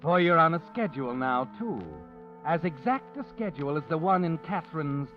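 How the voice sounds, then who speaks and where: A man's voice: a little high, expressive, normal speed. A man narrating an old radio thriller in the second person, ominous and insinuating. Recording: dull old radio recording with music.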